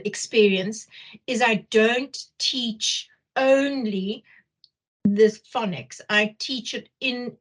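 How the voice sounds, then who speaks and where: Slow-paced Zimbabwean woman giving a lesson. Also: a little high, low-quality recording. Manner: halting, repeats phrases for emphasis. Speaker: teacher trainer leading a workshop. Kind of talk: explanatory lecture.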